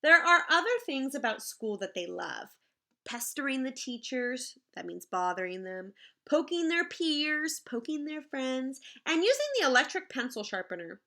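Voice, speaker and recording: A woman reading a picture book aloud in the manner of a teacher, expressive and explaining things simply to children. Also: sits high, moderate pace. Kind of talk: animated children's read-aloud with asides to the listeners.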